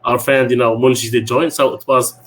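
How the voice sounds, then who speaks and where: A man who hosts a video call through a headset from a small room at home, speaking hesitantly and filling his pauses with "you know.". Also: medium pitch, level tone. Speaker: community organizer and host. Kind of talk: casual online talk.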